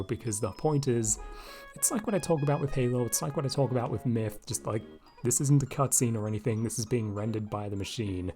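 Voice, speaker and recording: A man with a slightly raspy voice, casually commenting over gameplay into a desk microphone and thinking aloud with lots of asides. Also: mid-range voice, darkish tone. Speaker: video game commentator. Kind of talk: casual let's-play commentary.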